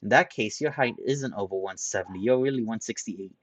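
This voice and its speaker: A man's voice: on the low side, brisk, no room sound, lightly acted. A man reading a story aloud, voicing a tired, level-headed young man who answers with dry exasperation. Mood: exasperated. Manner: dry, exasperated, calm corrections.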